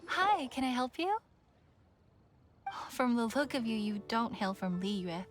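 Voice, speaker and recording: An actress playing a helpful, easygoing festival organizer in a video game, friendly and conversational. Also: female voice, a little high, very animated, slow, background noise. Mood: welcoming. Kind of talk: video game character acting.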